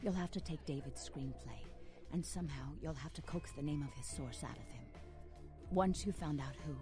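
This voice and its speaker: A woman's voice: low-pitched, moderate pace, music in the background, lightly acted. An actress playing a seductive, dramatic female vampire in a video game, teasing and sorrowful, slipping into whispered phrases. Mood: insistent.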